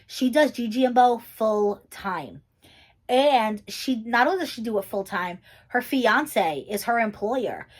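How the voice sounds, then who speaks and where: A woman's voice: a little high, expressive, measured pace. A young woman who teaches and makes videos, talking casually through a get-to-know-me Q&A, rambling with lots of fillers and restarts. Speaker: YouTuber and teacher. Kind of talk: casual chatty Q&A vlog.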